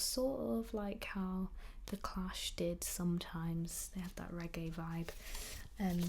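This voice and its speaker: A young woman whispering in a soft-spoken ASMR chat, slightly croaky while getting over an illness, with frequent ums. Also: mid-range voice. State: recovering from illness, at times croaky. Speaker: ASMR creator.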